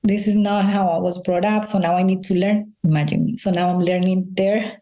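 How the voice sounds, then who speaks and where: A woman's voice: mid-range voice, fairly even intonation, a little fast, dark tone. A Spanish-speaking woman from Colombia with a Colombian accent, telling personal stories earnestly as a guest in a conversational interview. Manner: earnest storytelling, frequent restarts and "you know". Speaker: integrative health practitioner, guest.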